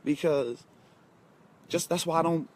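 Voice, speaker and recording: A man's voice: a little high, measured pace. Emphatic young man giving a pep talk. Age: 20s. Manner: emphatic, repeats lines and talks straight to viewers. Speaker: motivational vlogger. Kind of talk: casual motivational monologue.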